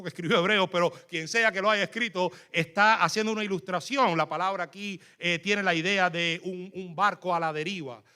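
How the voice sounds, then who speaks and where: Bright-voiced Cuban preacher, emphatic. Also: male voice, high voice, smooth. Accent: Cuban Spanish. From Cuba. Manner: fervent, emphatic preaching with frequent fillers. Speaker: guest preacher. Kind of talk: sermon.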